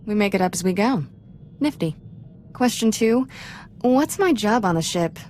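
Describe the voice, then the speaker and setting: A woman's voice: mid-range voice, expressive, normal speed, darkish tone, a little hiss or hum, lightly acted. A woman playing a brash, restless young apprentice in a video game, with a clipped, flippant, casual way of talking. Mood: brash, playful. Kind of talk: video game character acting.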